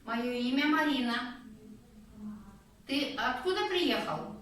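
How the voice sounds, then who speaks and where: A woman teaching a Russian lesson one-on-one, guiding patiently with slow, clear prompts. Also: sits high, lively intonation, fairly bright tone. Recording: echoey room, distant mic, faint noise.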